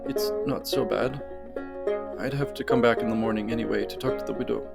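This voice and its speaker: A man's voice: medium pitch, fairly even intonation, fairly quick, gravelly, loud music behind the voice. A man voicing a guarded, terse female wilderness ranger in a fantasy story, curt and stubborn.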